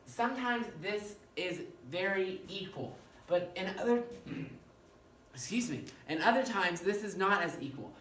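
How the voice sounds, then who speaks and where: A male professor with a fairly high-pitched voice, giving an animated classroom lecture and often checking in with "okay?". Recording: echoey room, mic far off, faint noise.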